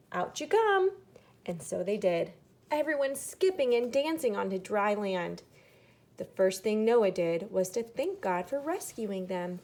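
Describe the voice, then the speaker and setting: A woman reads a children's story aloud at an unhurried pace. Her mid-pitched voice has some creak in it, and she shapes the phrases dramatically, dropping to a hush for emphasis. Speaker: storybook reader.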